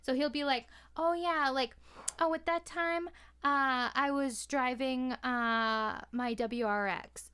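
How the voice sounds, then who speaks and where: Higher-voiced woman chatting casually. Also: steady tone, slow, bright, forceful tone, clean tone. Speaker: podcast guest. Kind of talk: casual chat, telling anecdotes.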